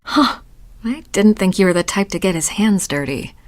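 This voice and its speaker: A woman's voice: medium pitch, expressive, normal speed, close to an everyday voice. A woman playing a wry, flirtatious society party guest in a video game, teasing and knowing in manner. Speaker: game voice actor. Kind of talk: conversational game dialogue acting.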